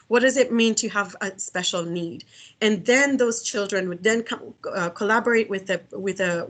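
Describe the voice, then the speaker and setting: Kenyan woman, middle-aged, earnest guest speaker, mid-pitched, frequent restarts, video call. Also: a bit bright. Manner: earnest, many restarts and fillers. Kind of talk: online talk.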